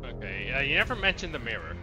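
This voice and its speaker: A man's voice: mid-range voice, very animated, fast talker. A man giving casual let's play commentary, rambling and chatty as he thinks aloud. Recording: slightly dull sound, faint game music. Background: faint game music.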